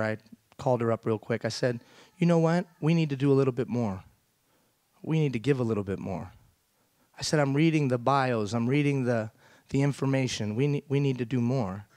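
A man's voice: medium pitch, darkish tone, no room sound. A middle-aged man from Iran giving an emphatic motivational keynote on a large theater stage, often throwing questions out to the audience. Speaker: keynote speaker. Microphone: headset mic.

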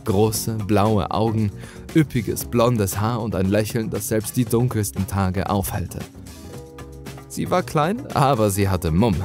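A man's voice: lowish voice, takes it slowly, a little mellow, faint music behind. A man reading a scripted first-person horror story as a dramatic narrator.

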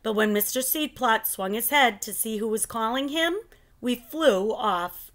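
A middle-aged woman reading a children's picture book aloud in an expressive, deliberate storytelling manner, at home. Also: fairly high pitch. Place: living room at home.

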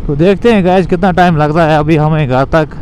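A man's voice: a little high, brisk, a little mellow, slight background noise, poor audio. Young man chatting from a motorbike. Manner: loose, repetitive chatter addressing viewers. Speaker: moto vlogger. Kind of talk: casual narration while riding a motorbike. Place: outdoors on a motorbike in city traffic.

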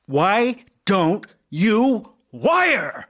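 Devoted worried husband reading love letters for an audio drama, theatrical, voice goes up and down a lot. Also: male voice, high-pitched, wildly swooping pitch, drawn-out words, fairly bright tone, heavily acted. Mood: alarmed. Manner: theatrical, yearning. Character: devoted, anxious husband, a lovelorn writer.

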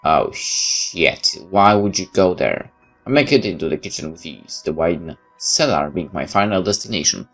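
A man's voice: low-pitched, animated, normal speed, vocal fry, fairly bright tone. A man playing a game on camera-free commentary, reading its narration aloud and adding remarks of his own. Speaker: let's player. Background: game music.

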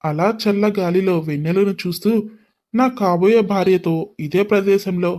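A man voicing a brash, lovestruck young man in a story, with expressive delivery. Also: sits high, normal speed, close to an everyday voice. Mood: romantic.

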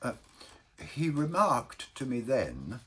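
A man voicing a meek, sorrowful baker in a storybook verse reading, telling his woe in a halting, mournful, mild way. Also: medium pitch, huge pitch swings, a bit bright, slightly rough, voice pushed up, exaggerated voice. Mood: tearful, halting.

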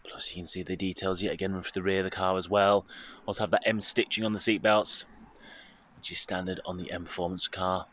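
A British man giving an informative, steady walkaround presentation as a car dealership presenter, speaking into a handheld phone outdoors. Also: deep voice. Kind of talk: walkaround product presentation, semi-improvised commentary. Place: outdoors in a car park, partly inside a car.

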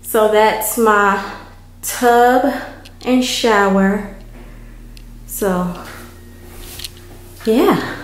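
A woman vlogger giving a casual, unhurried walkthrough of an empty apartment, filmed on a handheld camera. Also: medium pitch. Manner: unhurried, chatty, many ums. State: hot and worn out from moving.

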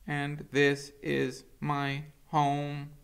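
A calm, motherly robot from a storybook, voiced by a male narrator. She speaks slowly and carefully, with a soft, raised pitch. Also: creaky, smooth, full character voice. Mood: earnest. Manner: slow, even, carefully spoken. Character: gentle female robot mother, calm and measured.

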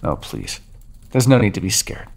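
Cruel toying vampire as a man voices him, gravelly, lively swooping pitch, taunting, ASMR audio roleplay. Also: moderate pace, full character voice. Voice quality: low gravelly voice, husky. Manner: taunting, mocking, calls listener dearie. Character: centuries-old male vampire, cruel and toying.

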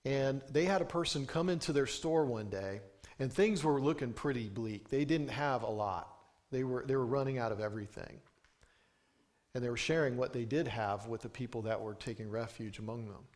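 A man's voice: medium pitch, normal speed, darkish tone. Earnest man preaching from a pulpit. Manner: earnest storytelling with emphatic rising lines. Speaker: pastor. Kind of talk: sermon. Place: small church sanctuary, indoors. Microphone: pulpit microphone.

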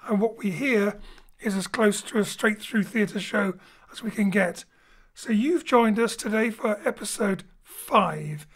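A man's voice: sits high, a bit bright. A man introduces a show as its director and host. He speaks informally, as if to listeners at home, into a home studio microphone. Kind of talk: informal spoken introduction to a live-style show. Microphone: home studio microphone over internet link.

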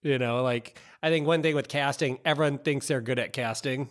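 A man's voice: mid-range voice, steady tone, fairly quick. A middle-aged man co-hosting a podcast, chatting casually into a close mic in a home office. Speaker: podcast co-host. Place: home office, video call. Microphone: podcast mic on arm, close.

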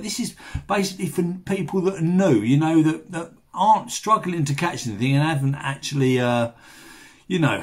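A man's voice: fairly high pitch, breathy edge. An English man in his sixties narrating a fishing vlog in a chatty, rambling, colloquial way. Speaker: fishing vlogger.

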